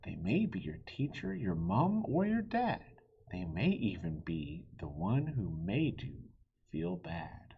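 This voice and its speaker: A man reading a children's story aloud in a theatrical way, with a breathy, husky voice that dips into hushed tones. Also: mid-range voice, huge pitch swings, takes it slowly, narrow, tinny audio, slight background noise. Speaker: children's book reader.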